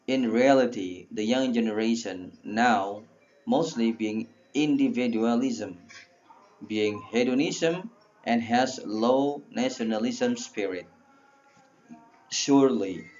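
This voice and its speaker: A man with an Indonesian accent delivers a formal prepared speech in a deliberate, halting, oratorical way. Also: fairly low pitch, a little mellow, lo-fi sound. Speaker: speech presenter.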